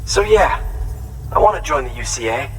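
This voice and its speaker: An actor playing an earnest, grateful shelter-born inventor in a video game, speaking in an emphatic, conversational way. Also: male voice, medium pitch, sing-song, normal speed, a bit bright, recorded from a distance, soft background music, rough recording. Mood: wistful, resolved. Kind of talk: video game cutscene acting.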